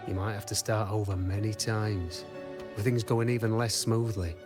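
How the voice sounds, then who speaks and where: Over clear background music, a male actor plays a sage game weaponsmith with a low voice. He speaks calmly and solemnly, weighing each phrase like dramatic, philosophical counsel. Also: normal speed, close to an everyday voice. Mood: grave, testing. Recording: music behind the voice.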